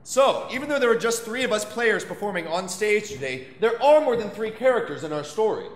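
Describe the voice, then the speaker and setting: A man who is an opera baritone narrates and explains a story on stage in a clear, scripted storyteller's manner. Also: big pitch swings, brisk. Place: opera house stage, live show. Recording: echoey hall with piano.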